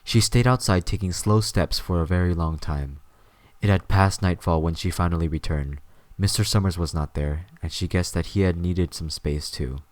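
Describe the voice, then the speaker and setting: A man's voice: low-pitched, normal speed, no echo. A man reading scripted story narration as the narrator of an audio drama.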